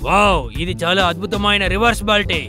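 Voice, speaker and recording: A man's voice: high-pitched, steady tone, fast talker, close to an everyday voice. A man voicing a cheeky animal in a dubbed children's cartoon, acting as a showy, enthusiastic commentator. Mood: excited. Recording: loud music behind the voice.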